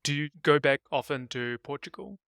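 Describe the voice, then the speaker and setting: A man hosting an interview, firing off brisk, upbeat rapid-fire questions. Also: on the high side, expressive, creaky, a bit bright, clean tone, dry recording, clean studio sound. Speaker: interview host.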